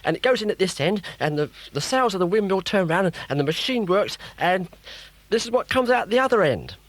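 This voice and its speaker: A man voicing an excitable, boastful, bumbling inventor with a flustered, stammering manner, for a children's story. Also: high voice, brisk, no echo, voice pushed up. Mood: excited.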